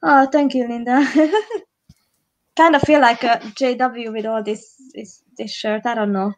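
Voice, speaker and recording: A woman's voice: fairly high pitch, steady tone, unhurried, slight background noise. A woman with a Hungarian accent, a live stream co-host chatting casually while doing makeup, rambling with frequent restarts.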